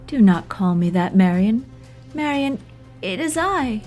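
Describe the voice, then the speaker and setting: A woman's voice: mid-range voice, lively intonation, unhurried, darkish tone, faint music behind, pitched above the performer's own voice, natural voice. A woman voicing a frail, confused young woman who is trembling and pleading, in a storybook reading. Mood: soft, pleading. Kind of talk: audiobook character voice.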